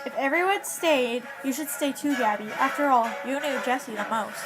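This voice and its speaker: A woman plays a level-headed housewife in an old-time radio play. She speaks in a very high voice with a wry, slangy, needling tone, and music plays clearly behind. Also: normal speed, low-quality recording. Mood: firm, probing. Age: teens. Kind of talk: 1940s radio drama acting.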